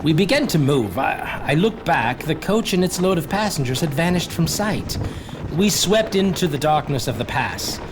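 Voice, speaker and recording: A man's voice: on the high side, big pitch swings. An actor playing an anxious young English lawyer's clerk recounting his journal in a hesitant, foreboding audio drama style. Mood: tense dread. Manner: hesitant, foreboding, with halting repetitions. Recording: clear background noise, carriage ambience.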